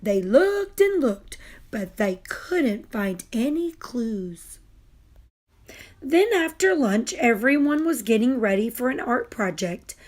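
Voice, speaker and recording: A woman reading a children's story aloud in a deliberate, expressive way. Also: fairly high pitch. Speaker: storybook reader.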